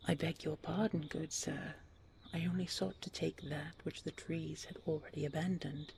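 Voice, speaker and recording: A woman's voice: sits low, fairly even intonation, moderate pace, vocal fry, a little mellow, a little hiss or hum. A woman voicing a humble, pure-hearted young human in a storybook tale, earnest, polite and pleading. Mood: apologetic.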